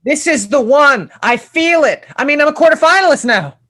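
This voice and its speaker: A man's voice: very high voice, animated, moderate pace, fairly bright tone. Man acting out a theatrical, hapless young dreamer. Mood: excited, triumphant. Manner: theatrical, swinging between gushing and bleak. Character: hapless, dreamy male aspiring screenwriter, dramatic and excitable. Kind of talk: screenplay table read, comedy acting.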